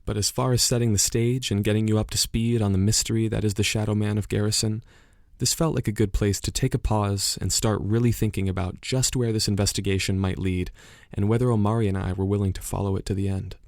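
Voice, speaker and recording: Actor as guy hosting a mystery podcast, low creaky voice, measured serious scripted narration. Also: steady tone, a little mellow, no echo, studio quality. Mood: grave, intrigued. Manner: measured, reflective, portentous. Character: earnest male investigative podcast host from Los Angeles. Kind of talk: scripted documentary narration in audio drama.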